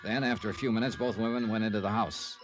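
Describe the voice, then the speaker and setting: An actor playing a shrewd, wry, hardboiled private investigator with an American accent in an old-time radio detective drama. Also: male voice, lowish voice, steady tone, fast, lightly acted. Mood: wry narration. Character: shrewd, sardonic male private investigator narrating his case. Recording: vintage radio recording with music.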